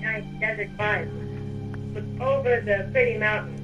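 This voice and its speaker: A woman's voice: medium pitch, a little slow, far from the mic, dull audio, music in the background, natural voice. A woman playing a helpful guide with formal, quaint speech in an improvised game roleplay.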